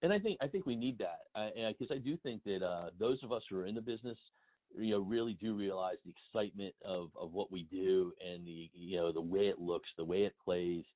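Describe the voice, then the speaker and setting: Middle-aged male interview guest, low voice, emphatic and wordy, thin phone-line sound. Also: a little fast. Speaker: interview guest, industry consultant and blogger. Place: remote phone call.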